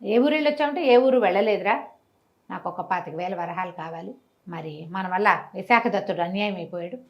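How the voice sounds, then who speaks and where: Fast-talking woman storyteller. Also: on the low side, lo-fi sound. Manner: animated, didactic, acts out dialogue with rhetorical questions. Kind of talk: conversational moral storytelling with commentary.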